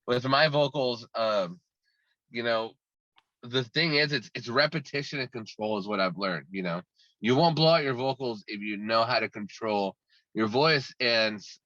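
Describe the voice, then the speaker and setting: A man's voice: mid-range voice, bright, forceful tone, dry recording, highs cut off. A man talking casually as an interview guest, rambling and restarting his sentences often. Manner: talkative and rambling, many restarts. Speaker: guest musician.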